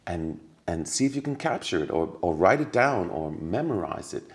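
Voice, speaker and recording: A man's voice: sits low, very animated, normal speed. A man talking as a podcast guest, telling stories earnestly with many restarts and repetitions. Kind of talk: casual conversation.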